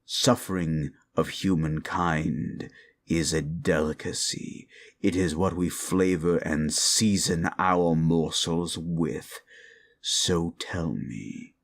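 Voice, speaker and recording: Man acting a deep, gravelly, taunting demon. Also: heavily acted. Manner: theatrical, taunting, drawn-out delivery with chuckles. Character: sly, mocking classic monster demon, male, summoned to grant wishes. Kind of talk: scripted audio roleplay, ASMR.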